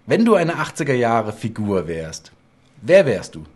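A man's voice: mid-range voice, huge pitch swings, measured pace. A middle-aged man hosting a casual, chatty interview from a home studio. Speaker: talk show host. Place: home studio room indoors.

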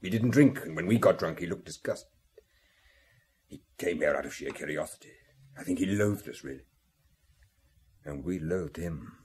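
Very husky, deep, brooding man. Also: lively intonation, moderate pace, long pauses, darkish tone, full character voice. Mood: resentful. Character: resentful man recalling a loathed companion. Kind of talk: old radio drama acting.

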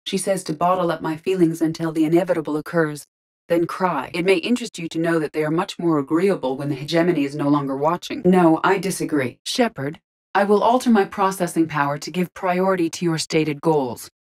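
A woman voicing a calm, curious, literal-minded female ship artificial intelligence in a video game, speaking formally and precisely. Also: low-pitched, close to an everyday voice. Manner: measured, formal, precise diction. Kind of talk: scripted video game character dialogue.

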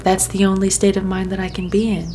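A woman's voice: medium pitch, monotone, quick pace. A young woman narrating her vlog in a casual, candid, upbeat way. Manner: upbeat, open and candid about hardship. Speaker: vlogger. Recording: voice-over over clear background music.

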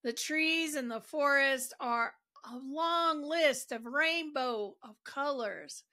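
A woman leading a guided meditation, narrating in a gentle, soothing and deliberate manner. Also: high-pitched.

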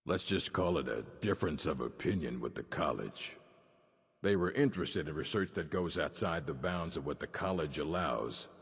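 A gruff old orc keeper of books for a video game. The voice is deep and heavily rasping, measured and dry, ringing with long reverb. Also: male voice, animated, full character voice. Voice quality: deep, gravelly rasp. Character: gruff old male orc librarian, stern and learned. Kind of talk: video game dialogue acting. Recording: long reverb of a stone hall.

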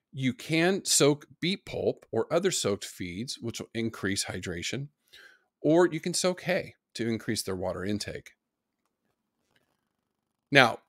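A man's voice: medium pitch, no echo, clean studio sound. A middle-aged man lecturing on horse nutrition, giving practical tips in an online course. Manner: practical, advice-giving explanation.

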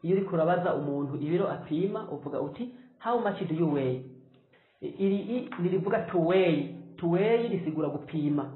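A man, teaching English phrases at a whiteboard and saying the examples slowly and clearly. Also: fairly high pitch, lively intonation, faint music behind. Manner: slow, drawn-out model phrases. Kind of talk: whiteboard language lesson. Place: small room with whiteboard. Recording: echoey room, tinny phone-like sound.

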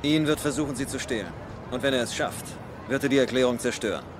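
A man's voice: mid-range voice, noisy background, natural voice. Man acting an earnest, determined treasure hunter. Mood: solemn, resolute. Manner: measured, serious, hesitant when explaining. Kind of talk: German film dubbing.

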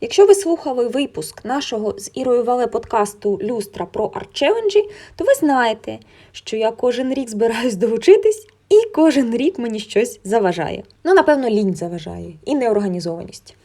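Young female vlogger, slightly high voice, casual first-person narration, chatty and open. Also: big pitch swings, normal speed, few pauses, warm tone. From Ukraine. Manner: candid, conversational, colloquial. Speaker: illustrator and art vlogger.

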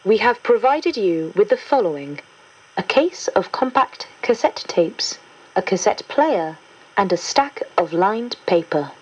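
A woman playing a formal, impersonal agency instructor, reading scripted instructions in a measured, official way on a distant cassette recording. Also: medium pitch, very animated, darkish tone, slightly rough. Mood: formal, instructive. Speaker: instructional announcer. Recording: muffled, distant cassette tape.

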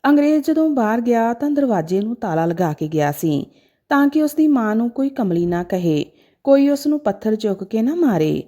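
A woman's voice: a little high, very animated, normal speed, warm tone. A woman narrating a scripted, sentimental story in a dramatic storytelling style.

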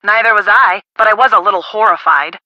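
A woman voicing a friendly young woman in a video game, casual, expressive and helpful. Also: medium pitch, a little fast, no room sound, muffled recording, close to an everyday voice. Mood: chatty, casual.

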